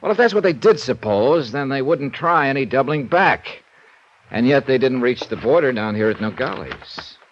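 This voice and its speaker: An actor playing a shrewd, easygoing American insurance investigator in a 1950s radio detective drama, wry and probing. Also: male voice, medium pitch, big pitch swings, normal speed. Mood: probing, reasoning. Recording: dull vintage radio recording.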